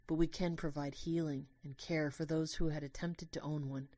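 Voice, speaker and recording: Woman acting anguished young storyteller, lower voice. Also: moderate pace, no room sound. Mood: reflective. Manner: emotional, intense, expressive. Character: anguished, devoted young human narrator named Lucas. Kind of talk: dramatic first-person audio drama narration.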